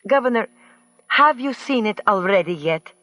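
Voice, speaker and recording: A woman's voice: medium pitch, animated, moderate pace, bright, forceful tone, pitched above the performer's own voice. A man voicing a fussy wealthy woman who gushes and mangles her phrasing. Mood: insistent. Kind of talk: audiobook character voice.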